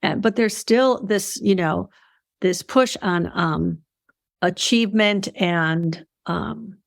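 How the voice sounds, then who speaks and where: A woman hosting a casual video call conversation, speaking thoughtfully with frequent pauses and fillers. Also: medium pitch, vocal fry, no room sound, clean studio sound. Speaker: host.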